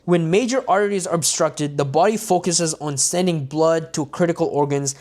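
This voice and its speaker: A man's voice: fairly high pitch, normal speed, a little mellow. A man reading a self-help book aloud on a livestream, with stumbles and restarts and casual asides to his viewers.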